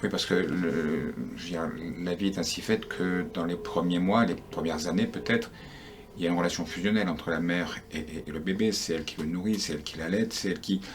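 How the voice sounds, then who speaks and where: A man's voice: low voice, a little fast, background noise. A man interviewing a guest for a news outlet, chatty and often restarting his sentences.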